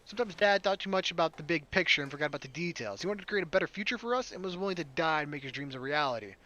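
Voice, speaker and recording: A man's voice: fairly high pitch, lively intonation, very fast, sharp tone, muffled recording. A man hosting a gaming video, reading a visual novel aloud expressively and dropping in casual asides.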